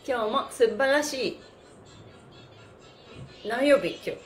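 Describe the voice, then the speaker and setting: A woman hosting a livestreamed exercise class, chatting to viewers in a cheerful, energetic, polite presenter manner. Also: on the high side, takes it slowly, soft background music. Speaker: host of a livestreamed stretching class, pantomime performer. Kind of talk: upbeat exercise instruction and casual livestream chat to viewers. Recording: roomy echo, slight background noise.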